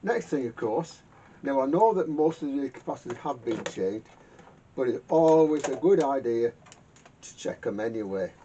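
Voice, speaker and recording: Male repairer, halting, self-muttering workshop talk, dark soft tone, rumbly noisy recording. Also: animated. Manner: halting, muttering to himself, repeats words. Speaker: vintage radio repairer hosting a workshop video. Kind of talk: casual hands-on repair commentary. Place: garage workshop. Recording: muffled lo-fi sound with rumbling noise.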